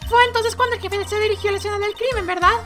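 A man's voice: extremely high pitch, fairly even intonation, racing, over music, voice pushed up. A man voicing an inquisitive, girlish young female legal assistant from a video game, in a questioning manner. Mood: curious.

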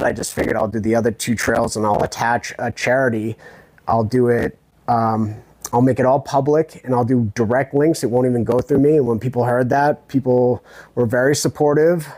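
A man's voice: mid-range voice, darkish tone, no echo, poor audio. A man in his thirties from New Hampshire, giving conversational interview answers into a clip-on mic in a small room. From New Hampshire, United States. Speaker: interview guest, thru-hiker and army veteran. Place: small hotel room, indoors. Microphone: clip-on lavalier on the chest.

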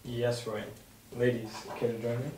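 Teen male, earnest and playful, young man reading, medium pitch, web drama read, faint noise. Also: level tone, normal speed, natural voice. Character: Kirsten, earnest, playful teenage boy. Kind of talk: table-read acting, naturalistic dialogue. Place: home dining room, around a table. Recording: roomy, distant mic, faint noise.

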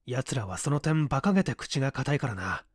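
Detective's colleague voiced by a man: grave, matter-of-fact, varied pitch, for an audiobook mystery. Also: big pitch swings, brisk, slightly rough, no echo, clean studio sound. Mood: concerned. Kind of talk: Japanese audiobook character acting.

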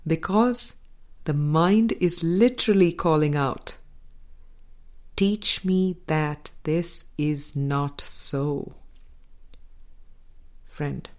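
Slow-paced woman reading a book aloud. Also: on the low side. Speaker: audiobook reader. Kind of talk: scripted book narration of a spiritual dialogue.

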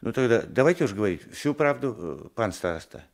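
A meek, simple old villager in a radio drama. He speaks haltingly and homely, with a swooping, expressive pitch. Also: vocal fry. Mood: indignant. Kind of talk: Soviet radio play acting. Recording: 1980s radio drama recording.

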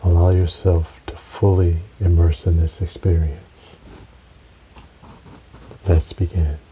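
A man narrating a guided relaxation meditation in a soothing, measured way, with long pauses between short phrases. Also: deep voice, level tone, warm tone, breathy edge. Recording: dull recording with audible hiss.

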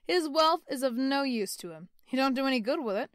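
A woman voicing a jovial young man who talks loudly and cheerfully, in a storybook reading. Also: sits high, expressive, fairly quick, no echo, studio quality, voice pushed up. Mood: hearty, jovial. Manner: loud, boisterous and cheerful.

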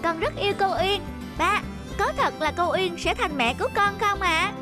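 A woman voicing a sweet, polite little boy in an animated moral tale, childlike and respectful. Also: extremely high pitch, moderate pace, voice pushed up. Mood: eager, affectionate. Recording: clear background music throughout.

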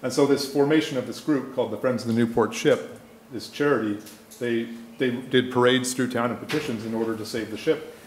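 A middle-aged man giving a conference lecture into a lectern microphone, explaining things informally with hesitant pauses. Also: mid-range voice, fairly even intonation, slight background noise. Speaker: conference speaker, archaeologist presenting a project. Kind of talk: public lecture with slides. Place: indoor lecture room. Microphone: gooseneck lectern microphone. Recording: echoey room sound.

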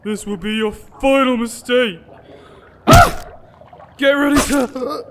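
A man's voice: very high-pitched, slow, heavy background noise, exaggerated voice. A man playing a menacing talking ape who guards a witch in an audio comedy drama. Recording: reverberant track under loud music.

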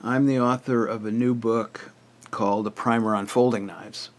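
An older man with a hoarse, raspy voice who often clears his throat, walking plainly through a book he wrote. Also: mid-range voice, steady tone, moderate pace. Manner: plain, matter-of-fact, list-like explanation. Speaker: author presenting his book. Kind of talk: casual book walkthrough.

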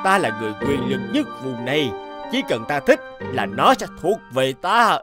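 An actor playing an arrogant, greedy feudal lord in a cartoon folk tale, with a pompous, blustering, mocking manner. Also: male voice, mid-range voice, very animated, normal speed, close to an everyday voice. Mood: domineering. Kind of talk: animated folk tale dub acting. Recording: music under the voice.

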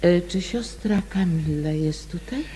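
A woman's voice: on the low side, a little slow, warm tone, a little hiss or hum, natural voice. An actress playing a polite, persistent lady amateur sleuth in a radio drama, courteous and a little hesitant as she makes inquiries.

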